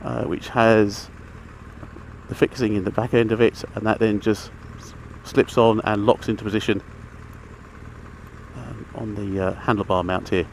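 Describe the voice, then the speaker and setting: Low-voiced man over road noise. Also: little pitch movement, unhurried, gravelly, a little mellow. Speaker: motorcycle vlogger. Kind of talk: casual chatty gear review while riding. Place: outdoors inside a helmet on a motorbike. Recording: engine, traffic and outdoor noise, helmet mic.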